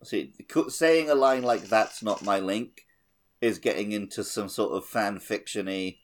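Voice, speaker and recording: A man with a fairly low voice co-hosts a casual gaming podcast. He talks in a loose, jokey, conversational way and laughs easily as he goes. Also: measured pace. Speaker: podcast co-host.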